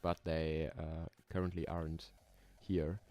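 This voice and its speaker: A man's voice: rumbling low, flat delivery, unhurried, mellow. A young German man with a German accent, streaming casually while he builds roller coasters and thinks aloud with many ums and small laughs. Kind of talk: casual live stream chat. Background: keyboard typing.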